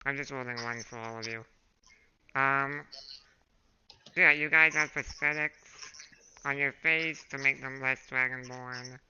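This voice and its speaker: A hoarse man running a tabletop game as dungeon master, unhurried and full of fillers. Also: mid-range voice, fairly even intonation, sharp tone. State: hoarse from a throat infection. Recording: muffled home recording with background noise.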